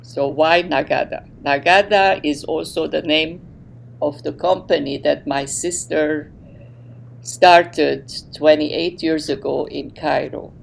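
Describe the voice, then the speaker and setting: Middle-aged woman with a Lebanese Armenian accent, slow and gravelly. Faint hiss behind her. Podcast guest. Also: low voice, fairly even intonation, rough recording. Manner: warm, unhurried, with fillers and laughs. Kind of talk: podcast interview, telling her life story.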